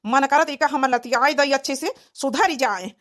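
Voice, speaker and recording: Cartoon role: woman performer as hot-headed young Awadhi village husband, high voice, indignant, complaining delivery. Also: normal speed, fairly bright tone. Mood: indignant, angry. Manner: indignant, complaining rural speech. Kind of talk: Awadhi comedy cartoon voice acting.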